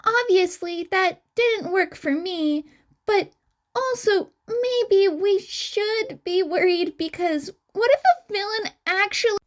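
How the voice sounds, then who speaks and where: A woman's voice: very high voice, slow, pitched above the performer's own voice. A woman voicing a sheepish, stubborn teenage boy schemer in a story, rambling casually and hesitantly.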